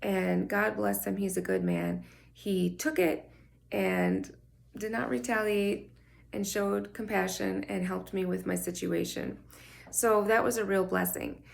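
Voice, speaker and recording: A woman speaks straight to the camera in an open, chatty vlog. Her voice is scratchy and thick with gravelly fry, as if she has only just woken up. She talks in a personal, candid way. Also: medium pitch, sing-song, unhurried. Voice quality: scratchy morning voice. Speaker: vlogger. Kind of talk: casual talk to camera.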